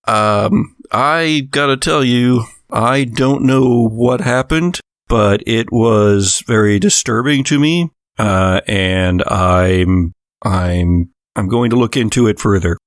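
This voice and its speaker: A man's voice: lowish voice, slow pace, dry recording, clean studio sound. A man playing a folksy, apologetic small-town deputy in a tabletop horror game, speaking in a hesitant, polite way.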